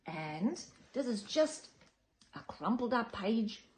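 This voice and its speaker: A woman reading a children's picture book aloud in a playful, theatrical way, with dramatic whispers and drawn-out words. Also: on the high side, very animated, a little mellow, a little hiss or hum. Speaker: children's storytime reader.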